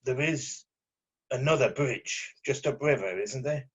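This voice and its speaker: A man playing a cautious, businesslike assassin in his late thirties at an amateur table read, clipped, deadpan and suspicious. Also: medium pitch, a little slow, slightly rough. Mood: puzzled. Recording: dull lo-fi voice recording.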